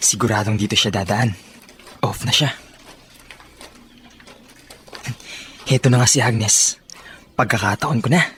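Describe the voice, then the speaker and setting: Menacing Filipino bully, played by an actor. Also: male voice, lowish voice, moderate pace, lightly acted. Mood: scheming. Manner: threatening, insistent. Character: menacing male bully, predatory, coercive schemer. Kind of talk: Filipino radio drama villain acting.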